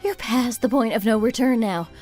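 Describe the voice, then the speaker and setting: A woman playing an indignant, sarcastic wife in a dramatized first-person story, with an expressive, exasperated delivery. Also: on the high side, moderate pace, soft background music, natural voice. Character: indignant, sarcastic wife at the end of her patience.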